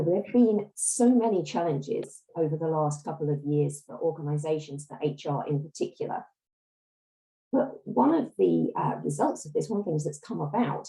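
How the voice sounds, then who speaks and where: A woman giving a webinar presentation as a reward consultant, hoarse and under the weather, often clearing her throat. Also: low-pitched, mellow, low-quality recording. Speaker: guest speaker, reward consultant. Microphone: video call.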